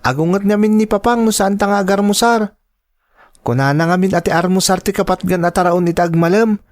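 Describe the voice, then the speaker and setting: Guy doing a responsible schoolboy older brother, radio drama, pretty high voice, patient, dry sound. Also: brisk, no echo, close to an everyday voice. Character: responsible older brother, a schoolboy minding his younger sister.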